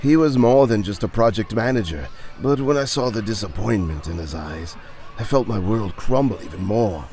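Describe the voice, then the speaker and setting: A dejected male research scientist acted by a man confesses his failings to a friend in an audio drama. His speech is halting and emphatic, full of bitter self-reproach, and his pitch moves widely. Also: animated, a little mellow. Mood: regretful.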